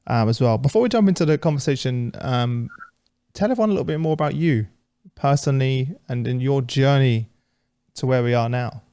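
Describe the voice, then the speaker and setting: Man, podcast host, upbeat, friendly, mid-range pitch. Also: a little fast, warm tone, smooth. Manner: upbeat, friendly, laughs easily. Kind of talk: casual interview hosting.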